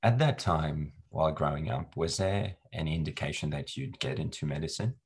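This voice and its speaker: A man's voice: very deep voice, normal speed, slight background noise. A man hosting an interview, asking questions in an encouraging way and affirming answers with short remarks. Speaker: interview host.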